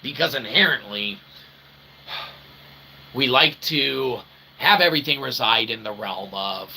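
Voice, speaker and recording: A man's voice: medium pitch, animated, unhurried, gravelly, sharp tone, slight background noise, low-quality recording. A man hosting a rambling comedic livestream in a mock-pompous manner, using grandiose made-up words and repeated catchphrases. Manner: repeats stock catchphrases and tags like "okay?". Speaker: streamer and channel host.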